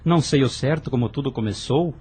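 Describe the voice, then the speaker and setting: A man's voice: mid-range voice, a little fast, a little mellow, husky. A man voicing a young brother in an audio drama, earnest and hesitant as he confesses. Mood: tense. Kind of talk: radio drama acting.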